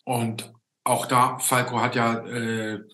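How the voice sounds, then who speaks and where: Slow, bright older man, roomy sound. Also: mid-range voice, flat delivery. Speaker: host. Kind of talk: casual video-call interview. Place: room indoors, on a video call. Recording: roomy, echoing video-call sound.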